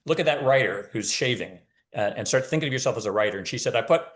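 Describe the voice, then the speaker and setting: A man's voice: mid-range voice, expressive, quick pace. A man, an author guesting on a podcast, giving advice conversationally in emphatic short phrases.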